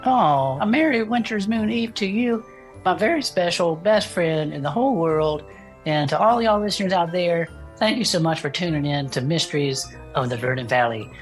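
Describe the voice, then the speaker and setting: Woman playing a low-voiced, folksy cryptid investigator. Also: expressive, normal speed, faint music behind, close to an everyday voice. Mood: playful. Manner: folksy, says y'all. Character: Bud, folksy, earnest cryptid investigator and podcast host. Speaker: podcast co-host character. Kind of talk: scripted audio comedy dialogue, chatty banter.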